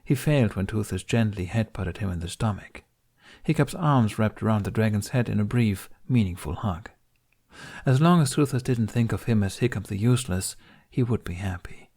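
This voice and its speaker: A man reading an audiobook as narrator, in expressive scripted storytelling. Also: fairly low pitch, moderate pace.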